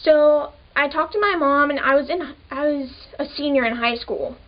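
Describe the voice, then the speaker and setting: A young woman of twenty with a high voice, talking to camera in a relaxed, chatty way and leaning on "like" between thoughts. The audio sounds a little dull and muffled. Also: moderate pace. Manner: casual, chatty, many "like" fillers. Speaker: vlogger. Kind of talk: personal storytelling to camera.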